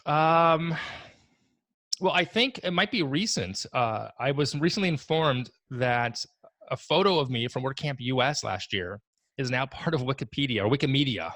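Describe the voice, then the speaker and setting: A man in his forties on a video call from his home office, talking in a relaxed, rambling, self-deprecating way. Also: mid-range voice, moderate pace, no room sound. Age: 40s. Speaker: guest. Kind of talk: casual video call interview.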